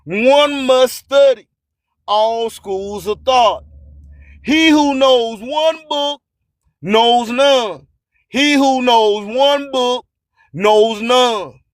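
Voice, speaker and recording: A man speaks in a strikingly high-pitched voice, taking his time over each phrase. He preaches like a motivational radio host, emphatic and fond of repeating his lines. The sound is dry and close, with no room around it. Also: extremely slow, no echo. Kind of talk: motivational spoken-word sermon.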